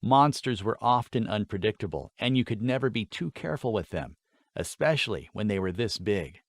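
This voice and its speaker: A man's voice: fairly low pitch, lively intonation, normal speed, no room sound. A man reading scripted fantasy fiction as a dramatic audiobook narrator.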